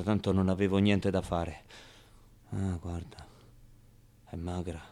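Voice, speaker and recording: A man's voice: low voice, vocal fry, warm tone, full character voice. A man playing a streetwise, wry and wounded ten-year-old orphan boy in a theatrical radio monologue, talking in slangy, colloquial bursts and muttered asides. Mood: hushed, watchful. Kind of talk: theatrical radio monologue, first-person storytelling.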